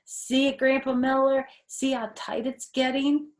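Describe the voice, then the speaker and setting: Woman acting as little schoolgirl, sassy, excitable, emphatic, dramatic, storybook character. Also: sits high, a little slow, echoey room, pitched above the performer's own voice. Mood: confident, eager. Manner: childish grammar, emphatic, dramatic exclamations. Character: excitable, sassy first-grade girl telling her own story. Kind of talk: children's storybook read-aloud.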